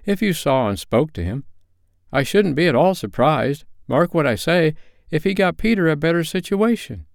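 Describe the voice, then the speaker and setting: Guy doing a kind earnest old-time storybook father, talky and tender, voice lifted high. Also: lively intonation, moderate pace, gravelly, dry recording, clean studio sound. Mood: cheerful, fond. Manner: earnest, effusive. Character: kindly, tender, talkative working-class father in Victorian storybook.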